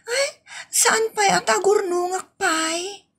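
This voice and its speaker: A woman's voice: extremely high pitch, slow pace, background noise, full character voice. A woman with a northern Philippine accent playing a jealous, nagging, whiny young wife in a comedy audio drama. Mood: defensive.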